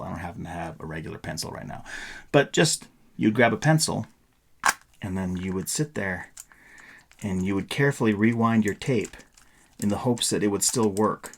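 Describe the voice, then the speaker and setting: A man's voice: lowish voice, creaky, slight background noise. A middle-aged man giving a casual, playful, rambling explanation in a show-and-tell vlog style. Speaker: vlogger.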